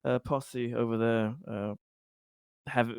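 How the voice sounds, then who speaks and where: He is a courteous, affable colony director from a tabletop game as a man acts him out. His voice is mid-pitched and he chats politely, feeling his way haltingly through sentences. The sound is dry and close. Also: fairly even intonation, a little mellow, clear, resonant tone, no room sound. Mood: courteous, chatty.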